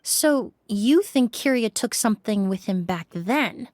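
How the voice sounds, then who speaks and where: Video game investigator: analytical, composed, courteous, on the higher side. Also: female voice, expressive, a little mellow, no echo, studio quality. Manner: measured, polite, analytical. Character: composed, probing female investigator.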